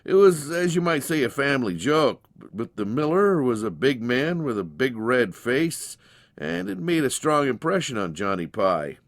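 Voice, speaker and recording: A man's voice: medium pitch, big pitch swings, moderate pace, dry recording. A man narrating a dramatized story in a folksy, conversational way. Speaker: narrator, audiobook reader.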